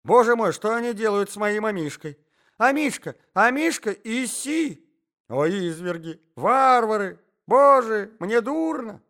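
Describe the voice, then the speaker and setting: A man's voice: high voice, lively intonation, measured pace, fairly bright tone, voice pushed up. A man voicing a melodramatic young lady in a story, wailing and exclaiming as if about to swoon. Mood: distraught. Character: hysterical, melodramatic young lady doting on lapdog.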